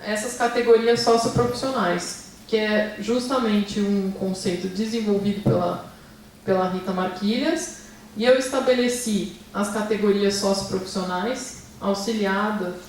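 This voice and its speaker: A woman's voice: medium pitch, a little mellow, a little hiss or hum. A woman with a Brazilian Portuguese accent giving a seminar talk on her research, hesitant, with many fillers and restarts while asking for the next slide. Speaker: seminar lecturer. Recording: echoey hall, speaker far from mic.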